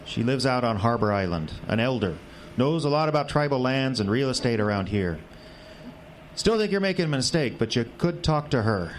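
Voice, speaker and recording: A crusty old northwoods uncle acted by a man. He is folksy and teasing, his pitch swinging freely, in a radio play with faint background noise. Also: big pitch swings, moderate pace, natural voice. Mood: reluctant, grumbling. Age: older. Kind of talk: radio drama acting.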